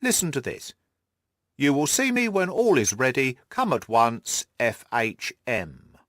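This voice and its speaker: A man voicing an excitable, cocksure police detective in an audiobook, emphatic and scornful. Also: medium pitch, unhurried, dry recording, studio quality. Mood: triumphant. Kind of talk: audiobook character acting.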